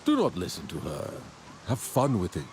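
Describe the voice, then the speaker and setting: An actor playing an earnest, competitive clan marksman in a game, emphatic and deliberate. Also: fairly low pitch, huge pitch swings, a little mellow, slight background noise. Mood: competitive, insistent. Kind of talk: game character acting.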